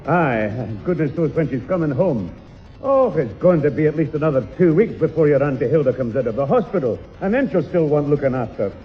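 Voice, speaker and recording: An actor playing a teasing, sarcastic old grandad in a 1960s radio sitcom, with a Scottish accent and a dry, mocking manner. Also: male voice, on the high side, sing-song, a little fast, faint music behind. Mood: grumbling, homely. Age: older. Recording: muffled 1960s radio broadcast.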